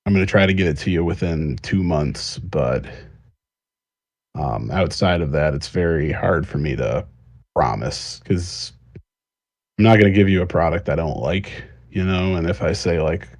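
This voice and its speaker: A man's voice: deep voice, moderate pace. A man on a discussion panel talking casually and candidly, in loose conversational phrases. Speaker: podcast panelist, writer.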